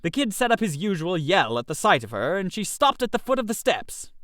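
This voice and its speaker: A man reads a comic story in a high, very animated voice. He is chatty and expressive, playing the idle gentleman telling his own tale, and the sound is close and dry. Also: a little fast, no echo. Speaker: audio story narrator. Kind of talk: scripted comic first-person story narration.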